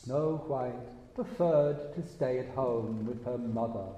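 A man narrating a fairy tale for a stage performance, with measured, deliberate phrasing and pauses. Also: medium pitch, warm tone. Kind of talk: fairy tale storytelling for a eurythmy stage show. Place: large indoor stage hall with an audience. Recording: very echoey hall, distant, faint noise.

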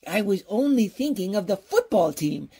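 A woman's voice: mid-range voice, big pitch swings, normal speed, mellow, slightly rough. A woman playing a blustering, flustered older male college professor who stammers and fusses, in old-time radio comedy style. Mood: nervous, ingratiating. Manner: stammering, fussy, backpedaling.

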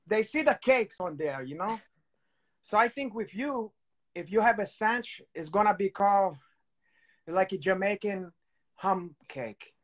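Co-host, man in his thirties, high voice, playful rambling banter, on a video call. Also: lively intonation, measured pace. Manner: playful, teasing, rambling with many restarts. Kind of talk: joking casual chat. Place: home room with candlelight.

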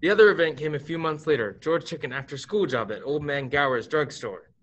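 Teenage boy playing a calm senior angel in charge. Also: fairly high pitch, brisk, muffled recording. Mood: calm, instructive. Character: authoritative male senior angel, calm and businesslike. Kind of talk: staged radio play.

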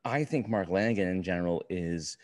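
Low-voiced man chatting, dry sound. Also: fairly quick, darkish tone, no room sound. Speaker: podcast co-host. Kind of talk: casual book review chat.

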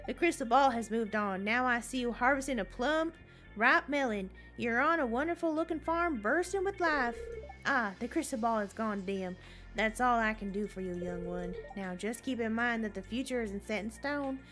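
A woman's voice: on the high side, normal speed, a bit bright, soft background music, close to an everyday voice. A woman doing a mystical old fortune teller with a Russian accent.

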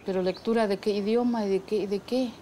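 A middle-aged woman with a soft-edged, mellow voice shares memories in conversation. She searches for her words, with faint birds and open air behind her. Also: mid-range voice, steady tone, a little fast, slight background noise. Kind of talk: conversational personal recollection. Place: leafy patio, outdoors.

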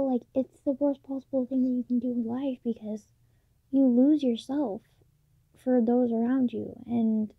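A teenage woman talking softly late at night in her bedroom, halting with many fillers, recorded on a built-in mic. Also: fairly high pitch, vocal fry, slightly rough, dry recording. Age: late teens. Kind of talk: late-night personal vlog. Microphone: phone or camera built-in mic, close. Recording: dull lo-fi built-in mic, dry.

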